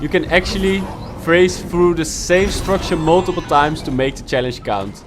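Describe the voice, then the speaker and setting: A man narrating a video game walkthrough, giving steady, instructive explanations of each challenge. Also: fairly high pitch, moderate pace, music in the background. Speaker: gaming guide youtuber.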